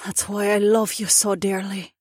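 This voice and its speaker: A woman playing an adoring, naive alien lover in an audiobook, with an emphatic, breathy delivery. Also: mid-range voice, level tone, moderate pace, a little mellow, no room sound, clean studio sound, natural voice. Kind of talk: audiobook character dialogue.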